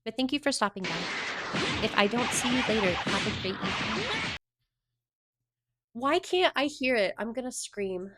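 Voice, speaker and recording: A woman's voice: on the high side, expressive, normal speed, long pauses, noisy background. A woman from the Southern United States chatting casually on a livestream, rambling and answering her viewers' chat messages.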